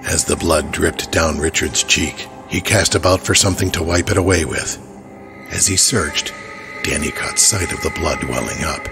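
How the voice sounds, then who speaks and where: Very deep gravelly male narrator. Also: normal speed, over music. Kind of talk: scripted horror narration.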